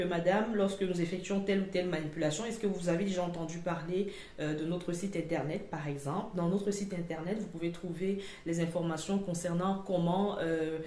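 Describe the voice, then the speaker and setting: A woman presenting an informal lesson in a didactic way, with hesitant phrasing and frequent pauses. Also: fairly low pitch, warm tone. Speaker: presenter of a customer service channel. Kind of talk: informal instructional talk to camera. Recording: echoey, roomy sound.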